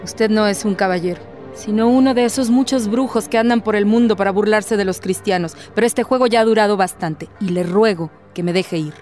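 An actress playing a devout young maiden in a radio drama, proud and defiant, with formal, dignified speech. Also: female voice, medium pitch, brisk, a little mellow, lightly acted. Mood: haughty, dismissive. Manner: formal, dignified, firm retorts. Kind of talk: theatrical radio drama acting. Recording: music behind the voice.

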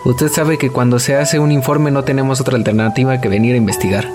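A suspicious police officer, played by a man in a radio play, firing off questions at a quick clip in a mid-range voice. Loud music and the sound of rain cover the scene behind him. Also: mid-range voice, fairly even intonation, lightly acted. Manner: brisk, questioning. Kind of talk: radio drama acting.

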